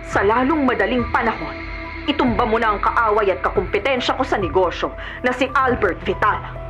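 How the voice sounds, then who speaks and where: A woman's voice: a little high, normal speed, over music, close to an everyday voice. An actress playing a cunning, arrogant businesswoman in a Filipino radio drama, haughty and dismissive. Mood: scheming calm.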